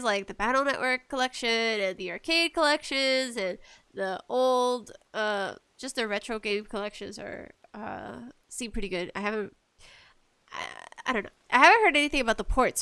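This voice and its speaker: A woman hosting a casual gaming podcast, talking solo in a slangy, conversational way with animated asides. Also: fairly high pitch, clean tone, dry recording. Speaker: podcast host.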